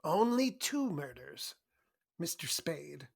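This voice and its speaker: Man playing a slow, husky, prissy crook. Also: on the high side, sing-song, exaggerated voice. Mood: prim, menacing. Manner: precise, formal, prickly. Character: fussy, prissy, slippery male criminal accomplice. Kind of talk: 1940s-style radio play acting.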